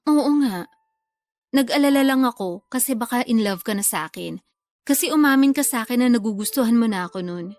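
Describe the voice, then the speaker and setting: A woman's voice: fairly high pitch, lively intonation, a little fast, a little mellow, no room sound. A woman acting a teasing, guilty lover in a secret affair, with a Filipino accent, in a radio love drama. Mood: placating.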